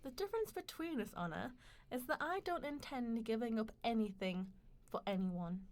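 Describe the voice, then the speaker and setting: A woman playing a proud, sharp-tongued young mistress in a radio play, ambitious and defiant, with a cutting, impassioned delivery. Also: a little high, expressive, normal speed. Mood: proud, scornful.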